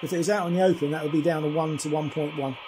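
A man chatting casually and at some length as he demonstrates a CB radio, in a friendly, slightly husky voice. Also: fairly high pitch, fairly even intonation, racing, background noise. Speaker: CB radio reviewer and operator. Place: indoors at a home radio desk.

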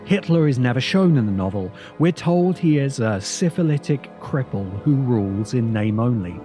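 Man, video essay narrator, scripted delivery, relaxed mellow tone, soft music bed. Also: mid-range voice, normal speed.

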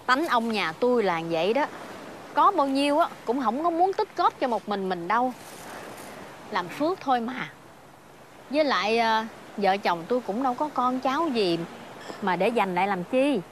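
Gentle old village wife, fairly high-voiced. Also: female voice, very animated, normal speed, a little hiss or hum. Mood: gentle, modest. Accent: Southern Vietnamese. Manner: homely, gentle, modest. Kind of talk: Vietnamese fairy-tale screen acting.

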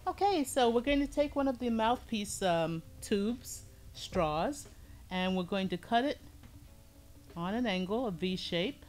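A middle-aged woman with a low voice teaching a hands-on pottery lesson in a studio, explaining each step calmly as she works. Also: a little slow, soft background music. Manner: calm, explains step by step while working with hands. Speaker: ceramics teacher.

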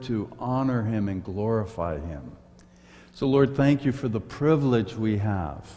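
A man in his sixties, a pastor, giving church announcements and leading a prayer in an earnest, unhurried way. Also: mid-range voice, warm tone. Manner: slow, earnest pastoral delivery. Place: church sanctuary, indoors. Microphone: far camera, standing in front of a pulpit. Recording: reverberant church hall.

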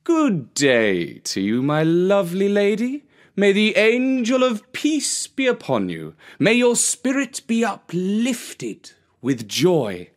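A man voicing a sly, flattering fox with theatrical, smooth talk in a children's storybook. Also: high voice, slow pace, voice pushed up, full character voice.

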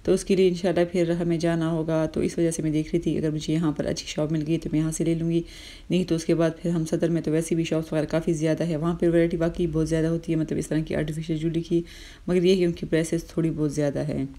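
Chatty woman with a low mellow voice. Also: level tone, fast talker. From Pakistan. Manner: chatty, explanatory, many fillers. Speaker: vlogger. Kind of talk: casual shopping vlog narration.

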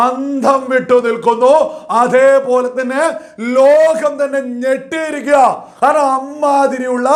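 Very high-pitched man presenting news commentary. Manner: emphatic, repetitive, stretching key words.

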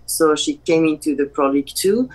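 A woman with a French accent talks on a video call with a stuffy, blocked-nose voice. She is animated and blunt, laughing easily. Her voice sits a little low for a woman. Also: moderate pace, poor audio. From France. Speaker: guest, pro bodybuilder. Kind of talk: casual interview over video call.

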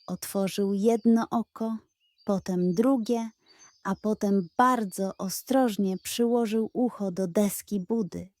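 A woman narrating a children's bedtime story in an expressive, playful way. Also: medium pitch, slow, no room sound.

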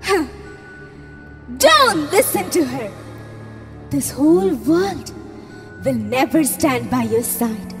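A woman plays a sinister inner voice that coaxes and taunts. Very high and swooping, slow, with a long reverb over music. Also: very animated, creaky, heavily acted. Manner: coaxing, taunting.

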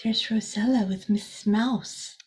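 A middle-aged woman reading a children's storybook aloud as a teacher, expressive and deliberate, with small asides to the listeners. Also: on the high side, slightly rough. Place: small room indoors.

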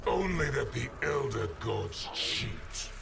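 Gravelly, menacing tyrant sorcerer. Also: male voice, medium pitch, very animated, exaggerated voice. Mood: scornful menace. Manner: slow, theatrical, taunting delivery. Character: menacing, scornful male tyrant sorcerer-emperor. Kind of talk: fighting game character acting. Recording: distant voice under loud music.

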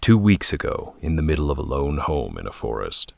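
A man's voice: extremely low pitch, sing-song, brisk, vocal fry. A man narrating a scripted dramatic story as a storyteller.

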